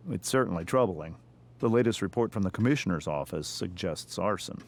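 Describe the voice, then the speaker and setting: Stern low-voiced American father. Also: expressive. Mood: grave. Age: middle-aged. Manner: measured, formal, speechifying. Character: stern, pompous district attorney and family father. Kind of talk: dramatic video game acting.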